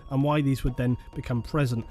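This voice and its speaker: A man giving scripted documentary narration on lore in a measured, solemn manner. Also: mid-range voice, steady tone, mellow. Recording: faint music bed behind.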